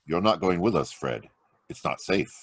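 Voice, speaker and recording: Low, dry-voiced criminologist hero with a lively delivery, played by a man, radio play. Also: normal speed, no room sound. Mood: calm. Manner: calm, commanding. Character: cool confident male criminologist hero. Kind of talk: old-time radio drama acting. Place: home over video call.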